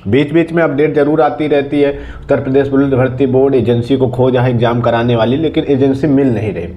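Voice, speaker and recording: A man's voice: medium pitch, fairly even intonation, brisk, lo-fi sound. A man presenting an exam news update to viewers in an informal, conversational, explanatory way.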